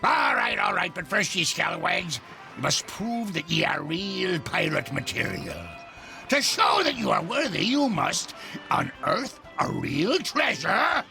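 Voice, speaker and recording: Gravelly, blustering ghost pirate captain. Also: male voice, high-pitched, very animated, measured pace, soft background music, heavily acted. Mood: commanding. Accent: stage pirate. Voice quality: gravelly, shouty. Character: blustering, self-pitying old male ghost pirate captain. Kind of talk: animated game cutscene acting.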